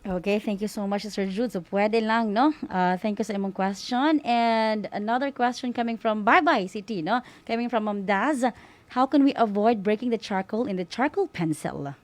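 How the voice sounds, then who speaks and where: Female moderator, Filipino accent, medium pitch, dry sound. Polite, informal, slightly halting delivery while passing on questions. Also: dry recording. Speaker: radio school program host.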